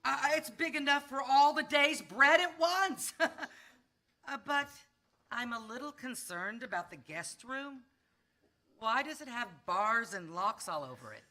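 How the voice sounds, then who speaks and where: A woman playing a doubtful, expressive house-hunting wife in a scripted fairy-tale parody. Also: high voice, unhurried, heavily acted. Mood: amused, wary. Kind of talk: scripted stage parody dialogue.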